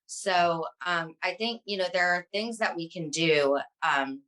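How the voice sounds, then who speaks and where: Woman, 30s, interview guest: low, bright voice, passionate, filler-heavy delivery. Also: a little slow, low-quality recording. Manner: passionate, fluent, many ums. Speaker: guest, social media advocate. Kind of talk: earnest interview answers.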